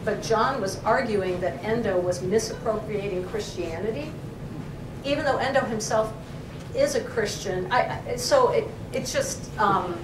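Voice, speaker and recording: A woman's voice: mid-range voice, unhurried. Woman, professor at a library talk, earnest, searching for words, distant mic, noisy echoey room. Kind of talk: discussion in an academic talk.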